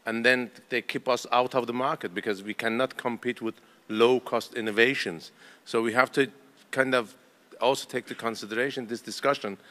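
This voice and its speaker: A man's voice: mid-range voice, sharp tone. A man giving an emphatic, choppy spoken comment at a roundtable event, often posing rhetorical questions. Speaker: company representative at a roundtable.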